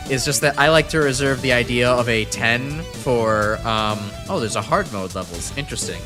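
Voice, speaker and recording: A congested man giving casual, rambling gameplay commentary as a streamer, full of fillers and restarts. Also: medium pitch. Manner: rambling, self-correcting, frequent fillers. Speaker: gaming streamer. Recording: game music in background. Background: game music.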